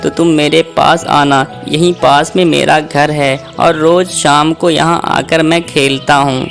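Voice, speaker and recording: A man's voice: a little high, flat delivery, unhurried, creaky, fairly bright tone, faint music behind. A man playing a polite, thankful young boy in a children's cartoon tale, speaking in a friendly way. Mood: grateful, friendly.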